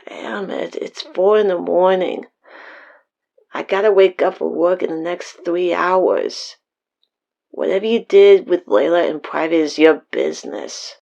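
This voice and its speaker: A woman's voice: on the low side, animated, slow pace, creaky, pauses often, a little mellow, heavily acted. A woman acting a worried, no-nonsense sister in an audio drama phone call. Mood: groggy, annoyed.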